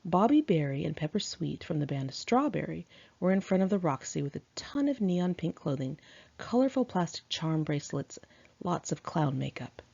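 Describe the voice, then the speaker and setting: A woman's voice: low-pitched, very animated, normal speed, vocal fry, dark tone, breathy edge. A woman reading her own memoir aloud in a candid, chatty, sassy conversational style, on a dull lo-fi home recording.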